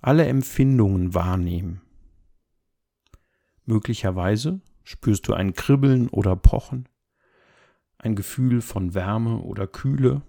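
A man guides a meditation in a low, mellow voice with a strong creak in it. His delivery is gentle and calm, with unhurried, measured phrases that give soft instructions.

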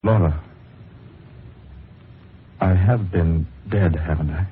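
A low-voiced, haunted undead husband. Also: male voice. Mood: dazed, haunted. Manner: halting, haunted, melodramatic. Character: bewildered husband back from the dead, bound to Satan. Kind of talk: old-time radio drama acting. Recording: muffled, noisy old radio recording.

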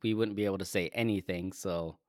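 A man's voice: deep voice, steady tone, fairly quick, a little mellow, no echo, studio quality. Laughing man co-hosting a Bible podcast. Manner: laughs often, self-deprecating banter. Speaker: podcast co-host. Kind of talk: casual chat about the Bible. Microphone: close podcast microphone.

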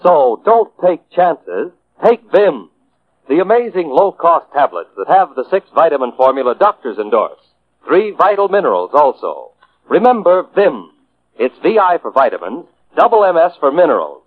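A man's voice: on the high side, sing-song, normal speed. A man delivering a scripted 1940s radio advertisement as a commercial announcer. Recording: tinny 1940s radio broadcast.

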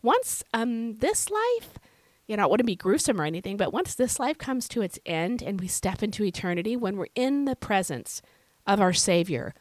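A woman hosting a devotional podcast, her voice scratchy and hoarse from illness, teaching in an earnest, conversational way. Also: medium pitch, sing-song, moderate pace, dry recording. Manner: earnest, conversational, with fillers and repeated phrases. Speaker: podcast host.

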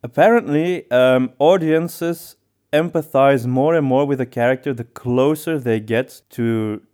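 A man with a mellow, soft-edged voice talks casually as a podcast co-host. His pitch sits in the middle for a man. He reasons aloud, often repeating and rewording as he goes. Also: measured pace. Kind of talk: casual discussion of storytelling.

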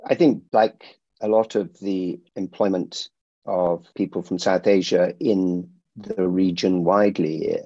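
Deep, mellow-voiced man in an interview. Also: fairly even intonation, no room sound, muffled recording. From India. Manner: measured, with frequent restarts and fillers. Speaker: private security company founder. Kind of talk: interview answers.

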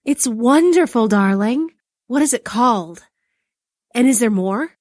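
A young goddess wife played by a woman in a storybook tale, loving and playful. Her delivery is sweet and coy, swinging freely in pitch, with a very dry sound. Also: lively intonation, measured pace, darkish tone, dry recording. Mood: delighted, loving.

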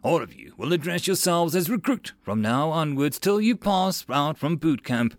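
Male narrator as sarcastic drill instructor, barking, raised pitch, theatrical sneer, animated storybook delivery. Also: normal speed, dry recording. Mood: furious.

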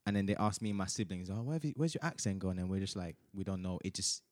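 Bantering young Nigerian-born man, low voice. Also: lively intonation, fast, darkish tone, dry recording, clean studio sound. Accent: light Nigerian. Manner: laughing, teasing, says bro a lot. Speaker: podcast host. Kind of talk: casual chat.

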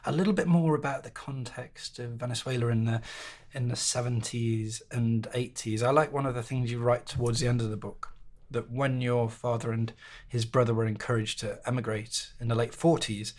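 A man hosting a history podcast, interviewing in an articulate, measured, conversational way with literary phrasing. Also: medium pitch, steady tone, darkish tone.

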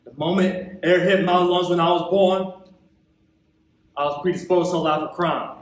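Very echoey distant recording, male actor playing hurt young American man, high voice, impassioned stage monologue, dramatic pauses, bitter and streetwise. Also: fairly even intonation, natural voice. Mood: indignant. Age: young adult. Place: theatre stage at a festival. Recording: echoey hall, recorded from far off.

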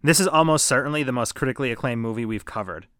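A man's voice: mid-range voice, very fast, fairly bright tone, no room sound, clean studio sound. A man in his thirties co-hosting a casual movie podcast, joking and swearing freely. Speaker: podcast co-host.

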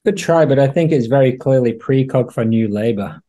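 A man's voice: mid-range voice, expressive, a little fast, dark tone. A man in a casual podcast discussion, explaining his points in an assertive, wry way. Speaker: podcast panelist.

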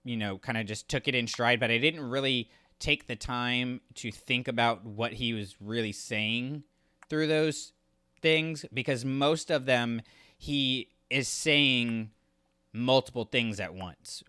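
Male podcast co-host, crisp and forward tone, conversational delivery, casual review talk. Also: lowish voice, bright, forceful tone, no room sound, clean studio sound. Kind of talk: casual book discussion.

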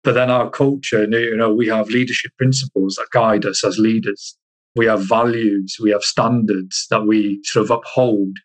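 A man from Iceland chatting casually as an interview guest, halting and repeating himself as he searches for words. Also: on the low side, steady tone, poor audio. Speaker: interview guest, army soldier.

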